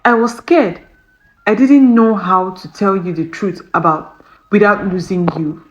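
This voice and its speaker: A woman's voice: mid-range voice, measured pace, lo-fi sound, slight background noise, close to an everyday voice. A woman voicing a lovesick young male billionaire who is remorseful, earnest and pleading, in a narrated romance tale.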